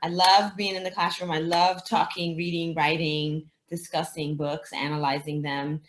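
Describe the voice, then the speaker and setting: A woman's voice: deep voice, flat delivery, moderate pace. Echoey interview, woman educator, native Spanish speaker. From Dominican Republic. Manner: explanatory, thinking aloud with rhetorical questions. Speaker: teacher and anti-racism educator, interviewee. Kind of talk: conversational interview answers. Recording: roomy, echoing sound.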